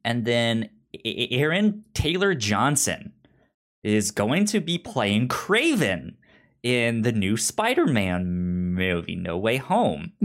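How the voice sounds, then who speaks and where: Male podcast co-host, unhurried and animated in pitch, halting casual delivery with frequent stammers. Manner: halting, often stammering on words. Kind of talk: casual pop culture news chat.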